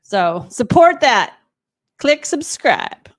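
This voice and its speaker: A woman's voice: sits high, sing-song, takes it slowly. A woman co-hosting a live stream, reading out viewer chat and bantering casually in a playful, teasing way.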